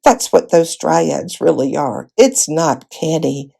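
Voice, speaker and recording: A woman lends her voice to grumbling older men from a fantasy storybook, sulky and distrustful. The delivery is muttered and creaky, with a dark, soft tone. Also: low voice, animated, takes it slowly, heavily acted. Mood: scornful, uneasy. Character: sulky, suspicious older men grumbling about talking beasts.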